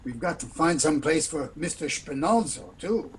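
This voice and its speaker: Radio drama sidekick male actor: weary, timid crook of a doctor, hesitant, slightly high-pitched voice. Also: expressive, normal speed, slight background noise, natural voice. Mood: worried. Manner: hesitant, stammering, pleading. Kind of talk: old-time radio play acting.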